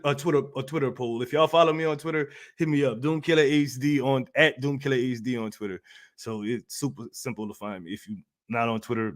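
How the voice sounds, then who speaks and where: A man hosting a gaming channel livestream, casually chatting and answering viewers in a slangy, playful, rambling way. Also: mid-range voice. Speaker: YouTube host of a gaming community channel.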